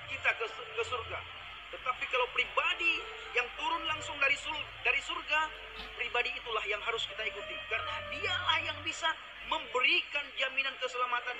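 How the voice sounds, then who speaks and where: A man's voice: very high-pitched, rough recording. A man from Aceh preaching emphatically, giving a religious testimony to viewers. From Aceh, Indonesia. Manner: emphatic, declaiming. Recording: loud music underneath.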